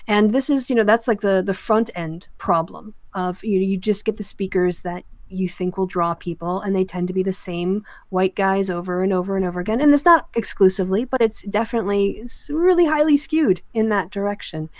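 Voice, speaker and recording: A woman hosts a panel discussion in a mid-pitched voice. She lays out her opinions in an explanatory way, feeling for her phrasing. The sound is dry, with no room around it. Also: a little fast, few pauses, no echo. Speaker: podcast host. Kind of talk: panel discussion on a remote call.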